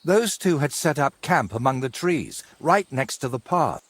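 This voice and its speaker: Husky middle-aged male painter for a medieval game: courteous, wry, florid, very animated intonation. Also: mid-range voice, normal speed, no room sound. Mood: indignant storytelling. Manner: theatrical, wordy, courteous. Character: wry, wordy ageing medieval painter, robbed but polite. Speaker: video game character. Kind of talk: game cutscene dialogue.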